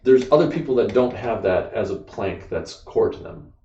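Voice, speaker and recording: American male co-host, rambling explainer, lower register, distant mic. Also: expressive, normal speed, dark tone, slight background noise. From United States. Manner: explains ideas at length, frequent "like" and "you know". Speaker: podcast co-host. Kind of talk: casual discussion. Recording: dull, echoey room, off-mic.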